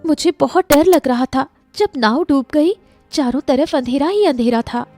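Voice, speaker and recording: A woman voicing a gentle, forgiving queen, soft and sincere, in a moral-story cartoon. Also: sits high, normal speed, soft background music, lightly acted. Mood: fearful. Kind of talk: animated Hindi moral-story dialogue.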